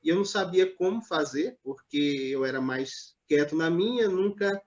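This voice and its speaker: A man from Northeast Brazil, a pastor, telling his life story in a live online interview in a measured, hesitant way, with many pauses and fillers. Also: a little high, expressive, lo-fi sound. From Natal, Northeast Brazil.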